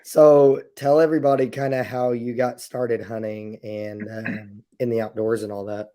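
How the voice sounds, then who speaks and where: A man's voice: medium pitch, level tone, normal speed, warm tone. A male podcast host who is losing his voice, asking easygoing questions in casual chat.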